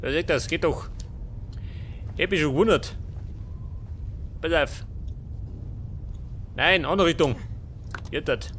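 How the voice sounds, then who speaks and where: Bavarian guy doing a lets play, kinda high voice, mutters n swears to himself, bit of background noise. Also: slow pace, long pauses, a bit bright. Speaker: let's player. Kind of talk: casual gameplay commentary.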